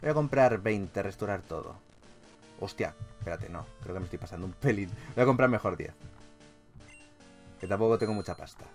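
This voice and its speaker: A man with an accent from Spain giving casual, expressive gaming commentary in an informal, sweary way. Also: lowish voice, brisk, pauses often, faint music behind. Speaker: gaming YouTuber. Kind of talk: casual Let's Play commentary.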